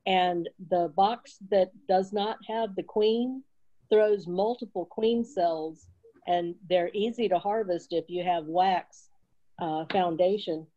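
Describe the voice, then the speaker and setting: A woman's voice: medium pitch, mellow. A woman with a stuffy head cold explaining beekeeping on a video call. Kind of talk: casual video call advice. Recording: dull lo-fi video call audio.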